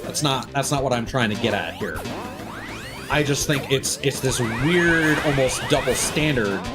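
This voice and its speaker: A man streaming games, chatting casually and giving opinions in halting phrases with emphatic bursts. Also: mid-range voice, animated. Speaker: game streamer. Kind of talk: casual opinionated rambling on a livestream. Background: music behind the voice.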